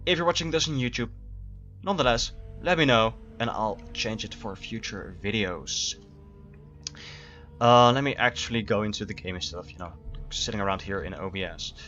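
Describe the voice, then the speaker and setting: Male streamer, low voice, loose chatty delivery, muffled stream audio. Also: expressive, moderate pace, pushed and bright, faint music behind. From Netherlands. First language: Dutch. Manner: chatty, rambling with restarts. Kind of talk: live gameplay commentary.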